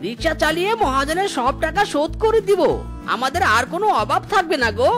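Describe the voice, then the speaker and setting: A woman's voice: high-pitched, animated, fairly quick, a bit bright. A woman voicing a doting, eager male fox husband in a cartoon, with a coaxing, repetitive way of talking. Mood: reassuring, determined. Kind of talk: exaggerated cartoon dialogue. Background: background music.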